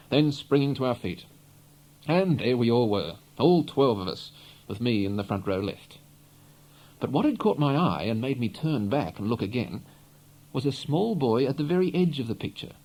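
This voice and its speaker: A man reading literary first-person narration as an audiobook, in an expressive storytelling manner. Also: medium pitch, low-quality recording. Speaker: audiobook reader.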